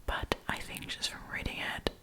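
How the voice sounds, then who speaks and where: Whispering woman, casual ASMR storyteller. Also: moderate pace. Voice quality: breathy, unvoiced whisper. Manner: informal, conversational, opinionated. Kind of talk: whispered ASMR, casual chatty commentary on stories.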